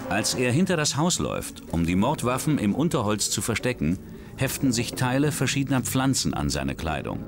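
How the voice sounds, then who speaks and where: A man reading scripted true-crime documentary narration in a measured, dramatic way. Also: mid-range voice, big pitch swings. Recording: studio voice-over with music behind.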